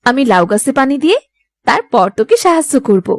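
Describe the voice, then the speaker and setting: Actress as tender, lively village daughter-in-law, high-voiced. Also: female voice, a little fast, no room sound, clean studio sound. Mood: reassuring. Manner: tender, reassuring, affectionate. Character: caring, dutiful elder daughter-in-law, gentle village woman. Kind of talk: Bengali moral-story cartoon dubbing.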